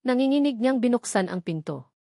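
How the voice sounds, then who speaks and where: A woman's voice: a little high, expressive, a little fast, vocal fry, dark tone, no room sound. Woman narrating a dramatic love story, dry sound.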